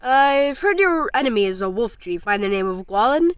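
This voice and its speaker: Woman doing a small earnest kid in a storybook, high voice, very dry sound, curious. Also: lively intonation, moderate pace, smooth, no echo, lightly acted. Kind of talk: audio storybook character acting. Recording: music behind.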